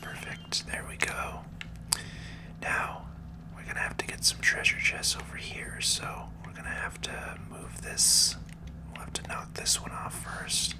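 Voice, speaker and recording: A host whispering gentle, unhurried ASMR commentary over a video game playthrough, in a soft, breathy, soothing voice.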